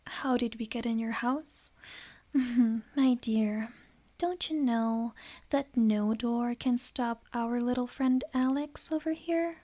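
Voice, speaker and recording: An actress playing a wealthy, possessive noble lady in an ASMR roleplay, speaking softly and breathily with refined, measured delivery and menace under a gentle facade. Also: on the high side. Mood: composed. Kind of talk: ASMR romantic roleplay acting.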